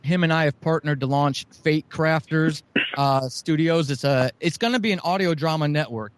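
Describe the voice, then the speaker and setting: Earnest, effusive man with a higher-pitched voice. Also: normal speed, smooth, no room sound. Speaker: guest, audio drama producer. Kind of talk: enthusiastic interview talk, promoting shows.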